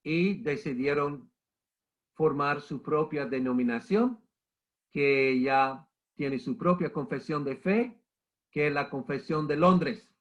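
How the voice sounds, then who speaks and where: A middle-aged man with the flu giving an emphatic church history lecture, repeating key points and calling listeners brother. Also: a little high. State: has the flu, coughing. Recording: muffled lo-fi recording.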